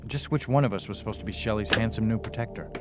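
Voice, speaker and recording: An actor playing a weary, sardonic young ghost hunter, narrating and talking in a wry, deadpan way in a radio drama. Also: male voice, fairly low pitch, fast, natural voice. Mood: sardonic, uneasy. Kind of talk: first-person radio drama narration and dialogue. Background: loud dramatic music.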